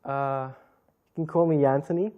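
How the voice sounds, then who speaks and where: A young man with a Lithuanian accent, answering interview questions as a guest in a measured, explanatory way. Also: mid-range voice, fairly even intonation, narrow, tinny audio. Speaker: computer business guest. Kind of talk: interview talk. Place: TV studio in a hotel.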